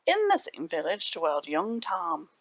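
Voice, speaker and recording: A woman reading aloud to children as a library storyteller, animated and dramatic with deliberate phrasing. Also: fairly low pitch.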